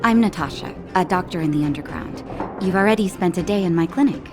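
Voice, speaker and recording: A calm woman doctor from a video game, played by an actress, with a slightly low voice. She speaks gently and with composure, reassuring and caring. Soft music plays behind her scripted lines. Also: fast talker, lightly acted.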